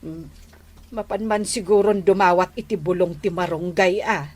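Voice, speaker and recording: An actress with an Ilocano accent playing a chatty, disapproving village wife in a radio comedy drama. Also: female voice, fairly low pitch, moderate pace, darkish tone, natural voice. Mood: gossipy.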